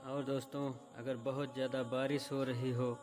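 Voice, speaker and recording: Man giving religious talk, flat pitch. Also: moderate pace. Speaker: presenter of religious videos. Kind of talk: religious explainer talk addressed to viewers.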